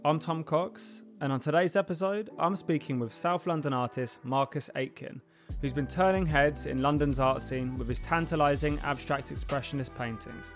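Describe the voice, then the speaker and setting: A man hosting a podcast, reading a scripted welcome and then asking friendly, inquisitive questions. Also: on the high side. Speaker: podcast host. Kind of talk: scripted intro, then casual interview questions.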